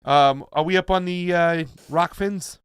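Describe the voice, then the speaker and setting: A man hosting a live talk stream, loud and emphatic, with lots of fillers and restarts. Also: high voice, fairly even intonation, unhurried, clean tone, no echo, clean studio sound. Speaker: show host. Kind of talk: casual live-stream chat.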